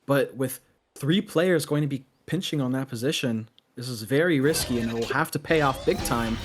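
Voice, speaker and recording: A man giving live play-by-play commentary on a video game match, excitable on big plays and analytical between them. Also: mid-range voice, moderate pace, slightly rough.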